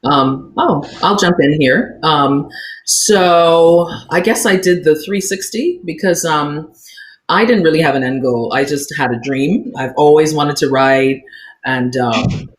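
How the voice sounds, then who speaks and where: A woman who grew up in the Bahamas, speaking on an author panel and telling animated stories, playing out the voices of people she quotes and laughing often. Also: low voice, unhurried, reverberant. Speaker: author on a book festival panel. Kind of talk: casual panel talk, storytelling.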